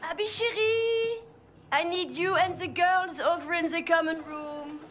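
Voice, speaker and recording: A woman's voice: extremely high pitch, measured pace, a bit bright. An actress playing a scheming, sweet-talking female villain in a video game cutscene. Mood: falsely sweet.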